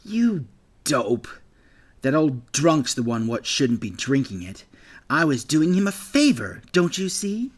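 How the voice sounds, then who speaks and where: A man's voice: a little high, very animated, takes it slowly, breathy edge, pitched above the performer's own voice, heavily acted. A man reading an audiobook, voicing a sneering, menacing bully boy who taunts his victim. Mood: taunting. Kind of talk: audiobook character voice.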